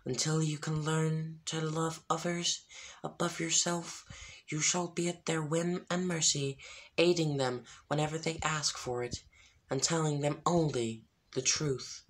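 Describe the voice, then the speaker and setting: A reader voicing a stern, ethereal spirit passing judgment, breathy and half-whispered, in a noisy home recording. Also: medium pitch, fairly even intonation, measured pace, voice pushed up, natural voice. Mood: stern, solemn.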